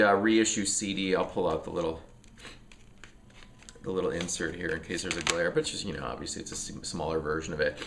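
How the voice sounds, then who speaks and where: A middle-aged man with a slightly low voice talks about his record collection at home. He sounds a little sniffly from allergies. He rambles in a loose, casual way, full of fillers and restarts. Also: fairly bright tone. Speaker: music vlogger.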